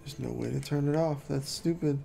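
A man's voice: on the high side, brisk, vocal fry, warm tone, soft background music. A young man giving casual, puzzled gameplay commentary, muttering to himself and poking fun at himself. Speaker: gameplay streamer.

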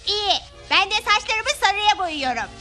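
Casting: childlike cartoon role, extremely high voice, music behind. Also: female voice, animated, normal speed, exaggerated voice. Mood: childlike, earnest. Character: childlike characters in a cartoon of ghosts and witches. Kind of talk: dubbed cartoon acting. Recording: loud music under the voice.

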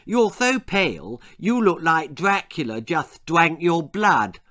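A man's voice: fairly high pitch, very animated, slow, sharp tone, voice pushed up, full character voice. A man voicing an honest, indignant little girl with a lisp in a children's storybook. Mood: frank. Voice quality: lisping.

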